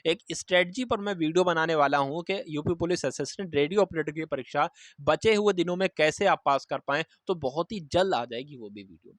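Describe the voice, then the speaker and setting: A man's voice: on the high side, brisk, dry recording. A man giving an informal, instructive exam update to students, repeating key points for emphasis. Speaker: exam coaching presenter.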